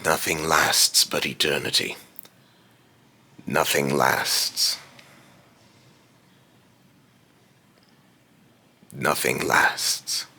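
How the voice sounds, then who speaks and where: Gravelly, abyssal-deep immortal being. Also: male voice, steady tone, sharp tone, exaggerated voice. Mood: grave, foreboding. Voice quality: gravelly, breathy rasp. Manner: solemn, deliberate, archaic grandiose phrasing. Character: ominous immortal being tempting mortals, grave and condescending. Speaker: voice actor. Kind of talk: theatrical villain monologue, repeated takes.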